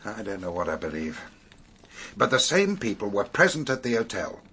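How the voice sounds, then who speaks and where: An actor playing a sceptical, businesslike British police superintendent in a radio drama, questioning in a dry, measured way. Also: male voice, on the low side, big pitch swings, bright, forceful tone, breathy edge, heavily acted.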